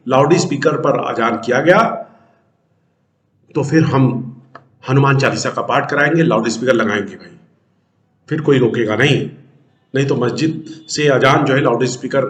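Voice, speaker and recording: A man's voice: mid-range voice, fairly bright tone. A man hosting a news show, giving emphatic political commentary to viewers and posing rhetorical questions that he answers himself. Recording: roomy, echoing sound.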